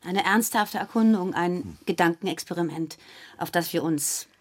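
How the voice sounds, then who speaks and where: An actress playing an earnest, mild middle-aged wife in a radio play, explaining things in a conciliatory way. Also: female voice, fairly low pitch, moderate pace, breathy edge.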